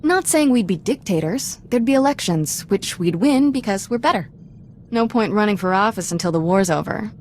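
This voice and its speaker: A woman's voice: medium pitch, expressive, moderate pace, a little hiss or hum, lightly acted. A brash young Jedi woman from a video game, voiced by an actress. She is blunt, informal and sure of herself, with a mid-range voice over faint ambience. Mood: brash conviction. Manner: blunt, informal, self-assured. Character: brash, confident young female Jedi companion. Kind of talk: video game character acting.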